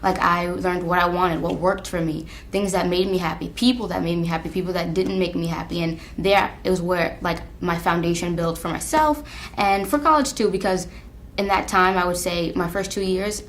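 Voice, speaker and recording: A woman's voice: lowish voice, normal speed, a little hiss or hum. A woman in her twenties from Long Island with an American accent, talking candidly and emphatically in a documentary interview. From Long Island, New York, USA. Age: 20s. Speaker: college alumna being interviewed.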